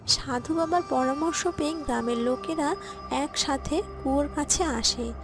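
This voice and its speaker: A woman's voice: high-pitched, normal speed, over music. A woman giving scripted narration for a cartoon story.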